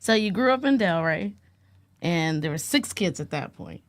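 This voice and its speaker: A woman's voice: lowish voice, expressive, moderate pace. A woman hosting a podcast, asking questions in a teasing, prompting way. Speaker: podcast host. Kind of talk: casual interview.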